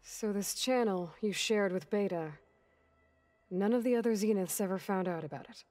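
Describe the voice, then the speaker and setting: A woman's voice: mid-range voice, normal speed, darkish tone, lightly acted. An actress playing a blunt, protective young woman in a video game cutscene, direct and challenging as she questions. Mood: probing, guarded.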